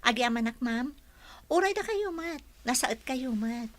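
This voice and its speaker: A woman's voice: sits high, lively intonation, normal speed. A woman acting an eager, deferential employee in an Ilocano radio drama, polite and agreeable. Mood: cheerful.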